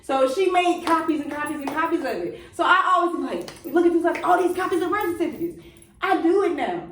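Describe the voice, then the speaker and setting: Woman in her late twenties, high bright voice, emphatic podcast co-host, echoey room, a bit off-mic. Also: lively intonation, fast talker, recorded from a distance, a little hiss or hum. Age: 20s. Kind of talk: casual chat.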